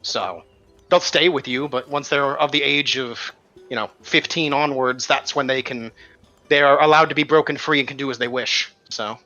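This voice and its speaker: A man's voice: mid-range voice, normal speed, sharp tone. A man running a tabletop game as game master, casually explaining the world's lore and thinking aloud as he goes. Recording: slightly dull lo-fi audio over faint music. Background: faint music.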